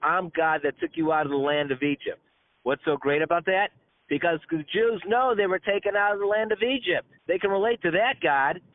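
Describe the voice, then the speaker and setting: A man's voice: high voice, a little fast, no echo. Man teaching over a tinny phone line. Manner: conversational storytelling, stammering repetitions, colloquial asides. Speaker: rabbi teaching a class. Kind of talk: informal religious teaching by conference call. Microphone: telephone conference call.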